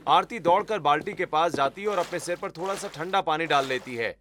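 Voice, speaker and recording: Higher-pitched man narrating a story. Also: brisk, a crowd in the background.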